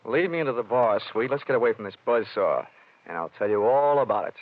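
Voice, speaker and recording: A man's voice: mid-range voice, lively intonation, fast, natural voice. Man acting a wisecracking hard-boiled detective, tinny old radio. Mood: sardonic, tough. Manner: wry, slangy, wisecracking. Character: wisecracking hard-boiled male insurance investigator, breezy and flirtatious.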